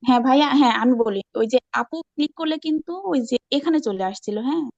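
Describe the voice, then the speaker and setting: Fast-talking Bangladeshi woman, muffled call. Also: high-pitched, a little mellow, no echo. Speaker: online class participant presenting her channel. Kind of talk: casual screen-share discussion. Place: online video call.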